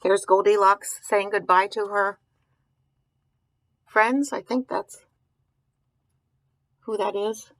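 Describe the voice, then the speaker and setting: Female storyteller for young children, slow delivery, informal asides and simple questions, dry recording. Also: medium pitch, steady tone, no room sound, low-quality recording. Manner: questioning, musing aloud, explaining simply. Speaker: storyteller reading a picture book to children. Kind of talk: read-aloud with informal commentary to young children.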